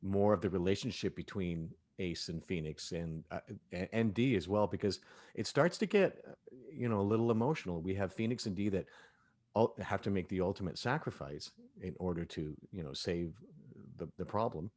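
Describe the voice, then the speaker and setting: Male director, lowish voice, animated storyteller in a relaxed cast roundtable. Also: normal speed. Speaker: director of an audio drama. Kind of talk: casual cast discussion.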